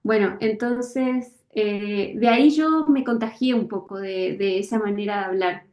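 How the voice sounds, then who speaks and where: A woman author, from Misiones, talking through her creative process in a thoughtful interview, often pausing and restarting as she looks for words. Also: mid-range voice, a little mellow, roomy sound. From Misiones, Argentina. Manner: hesitant, searching for words, many fillers and restarts.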